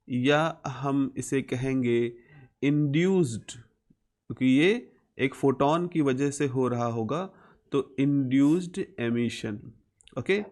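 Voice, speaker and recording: Male Indian physics lecturer, mid-pitched, instructional delivery, explains step by step and repeats key terms. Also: a little mellow. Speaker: physics teacher.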